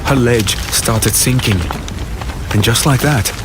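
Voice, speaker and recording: A man playing an earnest fantasy hero who narrates his ordeal gravely and dramatically. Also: medium pitch, slow, very noisy, close to an everyday voice. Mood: desperate. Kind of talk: scripted dark fantasy audio drama narration. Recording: very loud dramatic music behind.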